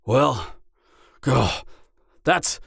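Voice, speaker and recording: A man playing a vulnerable, earnest genderfluid half-giant mercenary in an intimate audio roleplay, speaking haltingly with stammers. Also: mid-range voice, lively intonation, gravelly, a bit bright, husky, no echo, clean studio sound, heavily acted. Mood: frustrated, disappointed.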